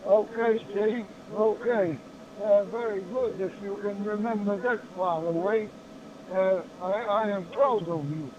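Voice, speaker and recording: Man from Australia, high voice, slow friendly talk, hissy muffled audio. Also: darkish tone. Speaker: radio amateur. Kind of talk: ham radio contact. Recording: muffled noisy radio audio.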